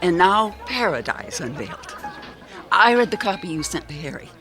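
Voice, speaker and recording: An actress playing a composed, courteous detective's associate in a radio mystery. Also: female voice, fairly low pitch, very animated, normal speed, music in the background. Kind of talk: old-time radio mystery acting.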